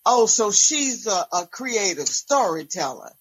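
A woman's voice: medium pitch, sing-song, measured pace, husky. A woman voicing a puzzled, forthright female church worker in a story. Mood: disbelieving.

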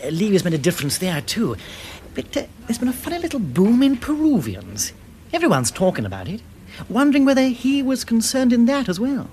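Hearty upper-class English club man, very animated. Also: very high-pitched, fairly quick, a little hiss or hum, heavily acted. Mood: hearty, confiding. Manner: blustering, slangy, emphatic. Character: hearty upper-class stock exchange gentleman, affable, easily flustered. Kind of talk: radio drama acting.

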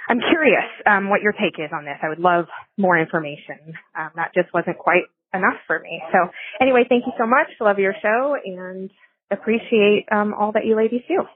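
A woman's voice: mid-range voice, moderate pace. A woman in her thirties calling in with a question, hesitant and full of ums. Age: 30s. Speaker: caller. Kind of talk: recorded voicemail question. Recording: phone line.

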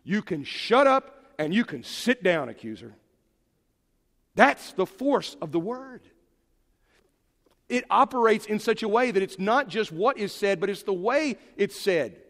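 A man preaching a sermon in an emphatic, animated way, swinging from forceful lines to hushed ones. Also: high voice, measured pace. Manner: animated, emphatic preaching with sudden drops to quiet. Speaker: pastor. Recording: long hall reverb.

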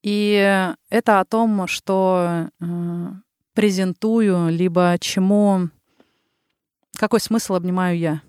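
A woman in her thirties from the Urals with a Ural Russian accent, a psychologist talking slowly and reflectively as a podcast guest, with frequent filler sounds. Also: fairly low pitch, fairly even intonation, darkish tone, smooth, dry recording, clean studio sound. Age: 30s. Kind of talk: casual interview talk.

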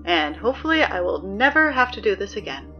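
Muffled, as if over a school PA with music underneath, an actress plays a female morning announcer in an audio drama. She is reluctant and awkward, delivering official notices in a weary deadpan. Her pitch sits in the middle range, and she sounds easily flustered and exasperated. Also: a little fast, lightly acted. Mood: weary, uneasy.

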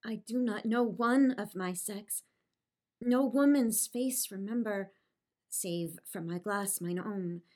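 A gentle, smitten young island maiden, played by a woman on the Shakespearean stage. She speaks in a fairly high voice at a very slow, careful pace, earnest and pleading in every line. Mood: shy, smitten. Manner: earnest, pleading, measured verse delivery. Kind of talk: theatrical Shakespearean stage acting.

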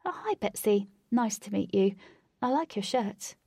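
A woman voicing a cheerful, nosy young woman with a bright, friendly manner. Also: mid-range voice, animated, a little slow, vocal fry, slightly rough, dry recording, clean studio sound, exaggerated voice.

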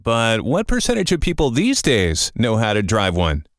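A man's voice: mid-range voice, wildly swooping pitch, normal speed, dry recording. A man hosting a morning-radio style podcast with a wry, joking, conversational delivery. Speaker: podcast host. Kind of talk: morning-radio show banter and quips. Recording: dry studio with music bed.

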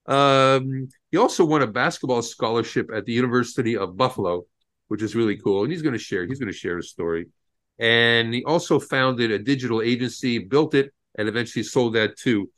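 A man's voice: medium pitch, fairly quick, a bit bright. A man hosting a podcast in a casual, enthusiastic way, often laughing and restarting his sentences. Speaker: podcast host.

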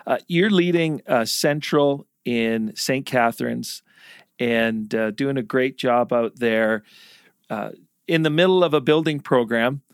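A man hosting a church podcast, upbeat in his intro and halting with fillers when he asks questions. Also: mid-range voice, smooth. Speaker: podcast host. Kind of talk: scripted intro then casual interview.

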